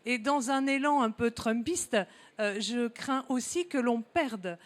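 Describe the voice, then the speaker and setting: A woman speaks up from the floor at a conference as an elected mayor. Her voice is on the high side. She phrases things deliberately and haltingly, off the cuff. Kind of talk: spontaneous remark from the floor. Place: conference room. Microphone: handheld audience microphone.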